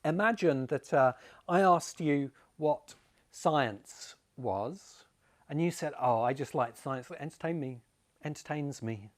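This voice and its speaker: A man's voice: on the high side, expressive, slow. A man giving an informal, opinionated explanatory talk, wandering through ideas and addressing the listener directly. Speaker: video essay host. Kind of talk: informal explanatory monologue to camera. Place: home among rice fields in Bali.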